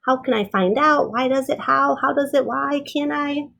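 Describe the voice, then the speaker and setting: A woman's voice: high-pitched, gravelly, sharp tone, pitched above the performer's own voice, heavily acted. A woman voicing a curious little girl from a storybook who fires off eager questions about everything. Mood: curious, insistent. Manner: eager, rapid-fire questioning.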